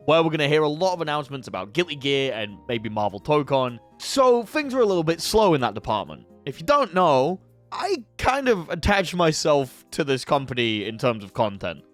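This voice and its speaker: Young guy hosting his gaming channel, sounds kinda sick, chill and sweary, faint music behind. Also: fairly high pitch. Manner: laid-back and swearing, sometimes annoyed. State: sick with a headache. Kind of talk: casual talk to camera.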